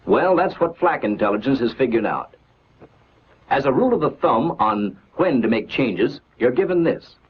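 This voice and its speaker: A man narrating a scripted 1940s military training film in a measured, instructional, declarative manner on an old film soundtrack. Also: mid-range voice, breathy edge, lo-fi sound.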